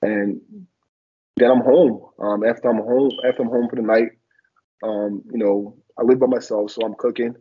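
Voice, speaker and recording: A man's voice: medium pitch, moderate pace, breathy edge. A young man from Liberia raised in Philadelphia, a former college football player, talking reflectively with many fillers as a guest on a video call. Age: young adult. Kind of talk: casual interview on a video call. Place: small room indoors. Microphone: video call, laptop camera. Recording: dull lo-fi video call audio.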